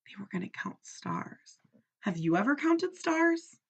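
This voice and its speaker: A woman reads aloud like a teacher with young children. Her pitch moves around a lot and her pace is unhurried, with questions to check they follow. The recording is slightly dull. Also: breathy edge. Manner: expressive, instructive, checking understanding.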